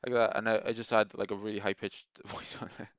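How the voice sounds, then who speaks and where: A man's voice: on the low side, flat delivery, quick pace, no room sound, lo-fi sound. A man talking in a casual, meandering monologue, enthusiastic and full of restarts. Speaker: gaming video creator.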